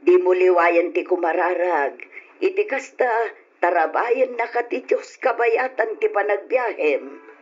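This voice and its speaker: Woman acting slow-spoken anxious Ilocano mother. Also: fairly low pitch, natural voice. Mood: worried, tender. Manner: slow, pleading, worried. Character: anxious, devoted mother of a grown son. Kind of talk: old-style Ilocano radio drama acting. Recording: muffled, noisy radio drama recording.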